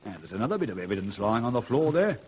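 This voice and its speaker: An actor playing a cold, ruthless, middle-aged British ex-convict and master thief in a vintage radio crime drama, commanding and scornful in an articulate way. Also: male voice, on the low side, sing-song, rapid-fire, a little mellow. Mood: menacing, gloating. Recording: dull, noisy old radio recording.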